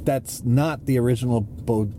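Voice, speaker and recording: Man chatting music over clear background noise. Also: medium pitch, fairly even intonation, normal speed, dark tone. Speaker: musician interview guest. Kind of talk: casual music talk. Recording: noisy location with clear background ambience.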